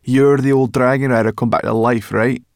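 A man's voice: mid-range voice, a little fast, gravelly, no room sound, studio quality, voice pushed up, natural voice. A man voicing a grumpy, bossy female brownie creature with a cross, sarcastic, growling manner.

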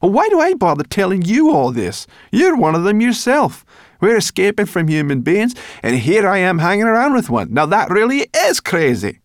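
A man's voice: mid-range voice, lively intonation, normal speed, no room sound, studio quality, pitched above the performer's own voice, natural voice. A man voicing a cross, grumbling female brownie creature in a storybook reading. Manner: grumbling, scolding.

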